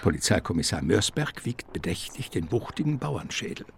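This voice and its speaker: Male radio play narrator, gravelly, expressive and ironic, faint noise behind him. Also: low voice, moderate pace.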